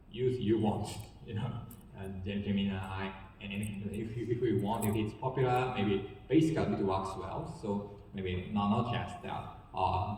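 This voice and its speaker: A man with a Japanese accent speaks slowly and haltingly, filling pauses with "uh" as he searches for words. His voice sits a little low and is picked up from a distance in a large reverberant hall. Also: darkish tone, a little hiss or hum. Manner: halting, many fillers, apologetic. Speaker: panelist. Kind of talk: panel discussion. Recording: echoey hall, distant mic.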